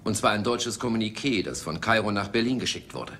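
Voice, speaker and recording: Lowish-voiced, animated intelligence officer. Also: male voice, brisk, a little hiss or hum. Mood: earnest, official. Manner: formal, official. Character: earnest, secretive military intelligence officer. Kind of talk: German film dubbing.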